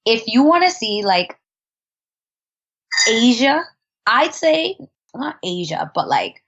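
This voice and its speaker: A woman's voice: on the high side, sing-song, slow pace, fairly bright tone, muffled recording. A woman telling travel stories as a podcast guest in a chatty, casual way, laughing often and restarting her sentences. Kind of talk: casual conversational storytelling.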